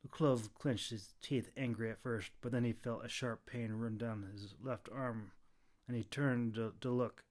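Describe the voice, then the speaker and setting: A man's voice: medium pitch, fairly even intonation, measured pace, darkish tone. Man dramatically narrating a fantasy audiobook. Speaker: author narrating his own audiobook.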